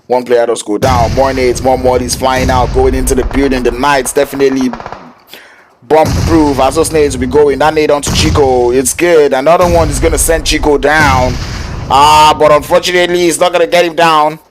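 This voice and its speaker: A man, a Yoruba speaker, calling an esports match play-by-play in an excitable, slangy way. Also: mid-range voice, moderate pace, music in the background. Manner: excitable, slangy, jokes with chat. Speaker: esports caster.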